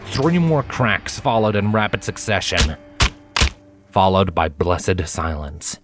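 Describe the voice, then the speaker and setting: Low-voiced man narrating over music. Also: takes it slowly. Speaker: fan fiction reader, narrator. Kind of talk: dramatic scripted narration. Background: background music.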